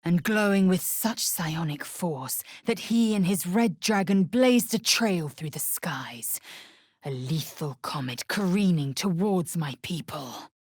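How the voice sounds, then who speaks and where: An actress playing a fierce, proud young female alien warrior in a video game, with a husky, raspy voice and a clipped, haughty, formal manner. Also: fairly low pitch, animated, slow pace, darkish tone, no room sound, clean studio sound, heavily acted. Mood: solemn. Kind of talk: video game character voice acting.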